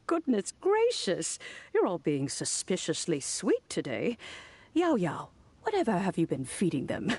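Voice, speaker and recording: A woman voicing a wise, kindly old lady in a video game, genteel and gently teasing. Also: fairly low pitch, huge pitch swings, unhurried, slightly rough, no echo, exaggerated voice. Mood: playful. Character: wise, kindly, good-humoured old lady, a village elder.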